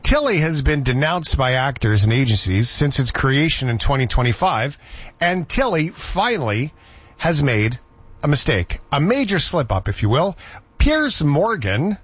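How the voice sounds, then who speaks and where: A man's voice: a little high, very animated, a little slow, a bit bright, slightly rough. A man hosting a radio show, chatting casually and telling stories. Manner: chatty, storytelling. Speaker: radio host. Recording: dull lo-fi radio audio.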